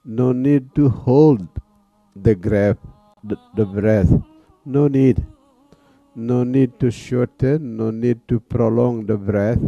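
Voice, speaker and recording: A man teaching guided meditation in a calm manner, leaving long pauses between phrases. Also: medium pitch, dry recording. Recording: dull, lo-fi sound. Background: soft music.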